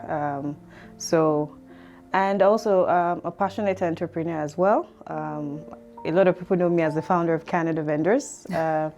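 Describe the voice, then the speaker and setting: A Nigerian woman in her thirties with a low, mellow voice, telling her story as an interview guest. She talks hesitantly and fills pauses with soft ums. Faint music runs behind her in the studio.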